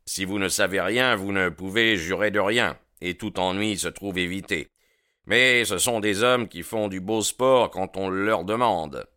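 A man doing a low, commanding gang boss. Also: measured pace, bright, forceful tone, no room sound, studio quality. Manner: commanding, smooth-talking. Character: authoritative male gang boss.

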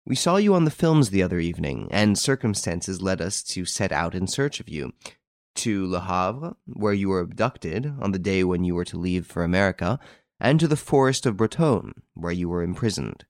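A man voicing an assured, wry gentleman investigator in an audiobook story, speaking theatrically and without hesitation. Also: low voice, sing-song, moderate pace, no echo, studio quality.

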